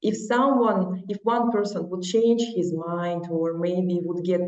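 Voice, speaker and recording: A woman's voice: lowish voice, fairly even intonation, measured pace, a little mellow. A woman with a Russian accent, a non-native speaker, talking as an interview guest in an earnest, encouraging way. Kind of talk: casual interview talk. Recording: echoey, distant, dull lo-fi sound.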